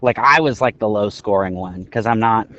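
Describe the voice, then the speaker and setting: A man with a Southern accent chatting casually as a podcast co-host, animated, with lots of fillers and a soothing voice. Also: on the low side, normal speed. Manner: animated, many fillers, loud-soft swings. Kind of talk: casual chat. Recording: muffled lo-fi with faint hiss.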